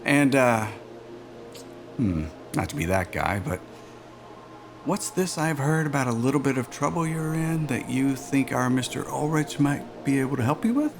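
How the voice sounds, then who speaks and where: A man's voice: mid-range voice, animated, moderate pace, vocal fry, music in the background. An actor playing a chummy, persuasive aerospace executive interviewer in a cinematic audio drama, with a chatty, polished salesman manner. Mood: conspiratorial, probing. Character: smooth, glib male aerospace executive recruiter, chummy and persuasive.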